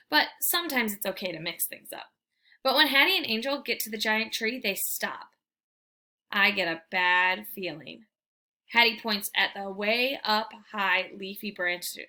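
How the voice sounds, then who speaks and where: A woman reading a children's book aloud as an expressive storyteller. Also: medium pitch.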